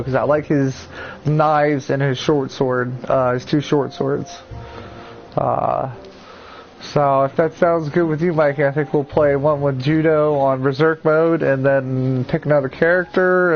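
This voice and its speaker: Man, congested with a cold, casual gaming chatter, excitable, swears, slightly high voice, dull lo-fi audio. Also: slight background noise. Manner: excitable, swears and laughs a lot. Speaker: gameplay streamer. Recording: dull lo-fi audio with faint music.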